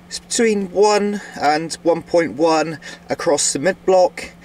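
A man giving a casual, unscripted video commentary as a radio operator, pausing often mid-sentence. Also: fairly high pitch. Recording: loud outdoor ambience and radio noise.

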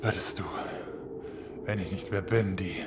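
A man's voice: deep voice, steady tone, a little slow, heavy background noise. A man playing a noble, defiant sword-fighting leader in a horror audio drama, speaking solemnly. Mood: pleading, grave. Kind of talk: German audio drama acting.

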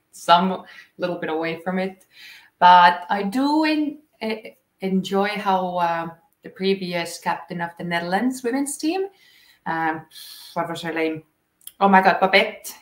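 A young woman from Estonia, an English speaker, chatting casually and a little haltingly in an interview. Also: fairly low pitch. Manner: informal, slightly halting, giggly. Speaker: cricket player and coach. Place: small indoor room, seated on a couch. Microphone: shared video call microphone, at some distance. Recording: roomy echo on a video call.

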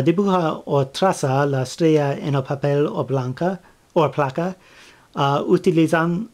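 A man speaking Spanish as a second language, giving a step-by-step craft tutorial, with many fillers, restarts and pauses as he searches for words. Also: a little high, a little mellow. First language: not Spanish. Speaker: flag-craft tutorial maker. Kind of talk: halting how-to narration.

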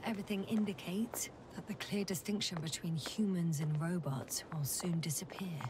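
An actress playing a wry, thoughtful scientist exploring a planet in a video game, speaking in a measured, musing way. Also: female voice, lowish voice, fairly even intonation, noisy background, natural voice. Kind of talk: naturalistic video game voice acting.

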